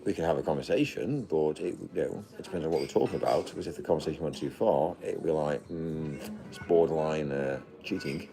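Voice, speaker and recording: A man's voice: extremely low pitch, fairly quick, gravelly, hardly any pauses, dark tone, low-quality recording. A man live streaming from a hotel, chatting in a rambling way, repeating himself and swearing casually. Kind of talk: unscripted live stream chat. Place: busy hotel restaurant. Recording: crowd chatter behind.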